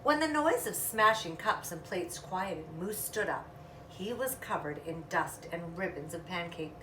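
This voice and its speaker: A woman's voice: lowish voice, lively intonation, unhurried, bright, forceful tone. A woman reading a children's story aloud expressively, drawing out sound words and adding casual asides. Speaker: storytime reader. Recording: echoey room, distant mic, faint noise.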